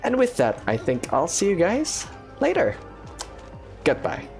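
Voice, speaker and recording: A man gives casual gaming commentary as host of a video. His pitch moves around a lot as he reacts off the cuff in short phrases, with faint music behind him. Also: huge pitch swings, a little slow.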